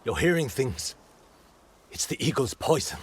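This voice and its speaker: An actor playing a guilt-laden but defiant, battle-worn rebel fighter in a video game, with a husky, gravelly voice. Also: male voice, mid-range voice, sing-song, measured pace, full character voice. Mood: grim resignation. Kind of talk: dramatic video game cutscene acting.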